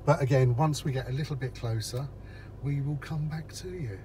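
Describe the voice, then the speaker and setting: A man's voice: medium pitch, moderate pace, warm tone. Chatty man narrating from a car. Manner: chatty, explains each step to viewers. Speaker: vlogger. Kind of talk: casual narration while driving. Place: inside a car in traffic. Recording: in-car recording with road noise.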